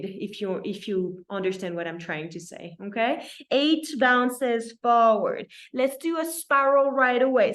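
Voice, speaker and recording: A woman from France with a French accent, instructing a dance class in an encouraging way and often checking in with her students. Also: on the high side.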